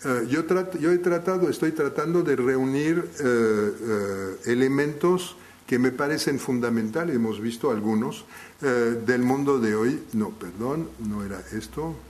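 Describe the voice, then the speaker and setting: A man who is not a native speaker of the language gives a live lecture to an audience. He talks in a halting way, restarting phrases often as he thinks aloud. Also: mid-range voice, a little mellow. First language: not Spanish.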